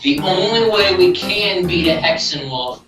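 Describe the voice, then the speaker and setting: A man's voice: high voice, expressive, a little slow. A man voicing a grim, hot-headed teenage monster hunter in an amateur cartoon. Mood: grim, determined. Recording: echoey, distant, music behind.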